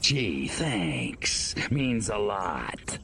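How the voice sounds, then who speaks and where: An actor voicing a cocky, menacing alien invader in a video game, gravelly and wisecracking with a sardonic bite. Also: male voice, fairly low pitch, extremely slow, a little hiss or hum, exaggerated voice. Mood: dry, sardonic. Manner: deadpan, wisecracking.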